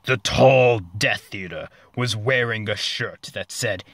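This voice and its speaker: A man narrating a comic story as a dramatic reading, deliberate and mock-serious, with dramatic pauses. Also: fairly low pitch, a bit bright, dry recording.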